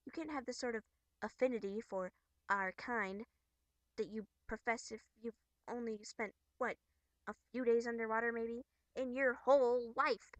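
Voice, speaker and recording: Woman acting a bubbly, high-voiced young troll girl. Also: fairly even intonation, moderate pace, dry recording, natural voice. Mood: scolding, teasing. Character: bubbly, earnest young troll girl, scolding but caring. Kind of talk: amateur character voice acting from a webcomic.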